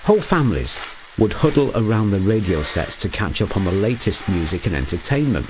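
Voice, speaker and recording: A man's voice: fairly low pitch, very animated, a little fast, noisy background. A man delivering scripted documentary narration in a storytelling manner.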